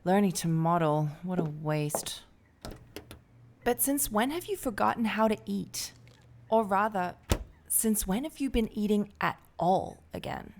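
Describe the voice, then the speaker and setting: A woman's voice: medium pitch, animated, unhurried, a little mellow, a little hiss or hum. A woman playing a scornful, nagging mother in an audio drama, speaking critically and with disapproval. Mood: disapproving.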